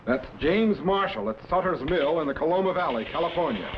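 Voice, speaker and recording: An actor playing an authoritative scientist captain, giving orders and explaining earnestly in a 1950s sci-fi serial. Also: male voice, on the high side, animated, brisk, close to an everyday voice. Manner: crisp commands, earnest explaining. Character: commanding, authoritative time-traveling scientist captain. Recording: dull vintage broadcast with faint noise.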